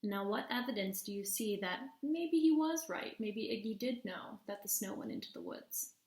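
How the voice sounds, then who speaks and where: Woman, teacher, storybook read-aloud, mid-range pitch, expressive, questioning children, roomy echo. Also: normal speed. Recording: echoey room.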